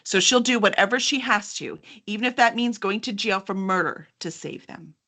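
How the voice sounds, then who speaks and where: Female host, bright tone, enthusiastic and conversational, able to slip into reading copy aloud. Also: medium pitch, normal speed. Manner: chatty, enthusiastic. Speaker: host and book publisher's representative. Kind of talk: upbeat interview chat with some reading aloud.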